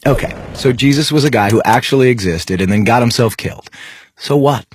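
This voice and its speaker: A man's voice: mid-range voice, fairly quick, husky, dry recording, natural voice. An actor playing a young male skeptic, talking in a casual, incredulous, slangy way in a scripted skit. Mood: skeptical, challenging. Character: skeptical, scoffing young male atheist challenging a believer.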